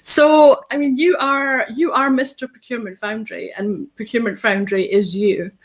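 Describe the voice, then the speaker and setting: A woman's voice: fairly high pitch, steady tone, normal speed. A woman in her thirties hosting a live online show from home over a webcam, bubbly and upbeat with many ums. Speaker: host. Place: home studio with tinsel backdrop.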